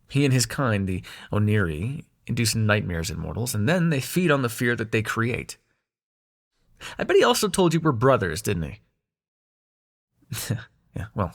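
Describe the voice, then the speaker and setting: A man acts out a young male dream god in an intimate audio roleplay. His pitch moves in wide, animated swings as he talks straight to the listener, sarcastic and sweary, with a protective, tender streak and a halting conversational delivery. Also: gravelly, full character voice.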